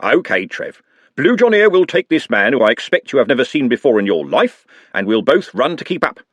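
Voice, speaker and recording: A man voicing a patient police constable, speaking calmly and evenly. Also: medium pitch, a little fast, dry recording. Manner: calm, even. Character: calm, patient male city constable.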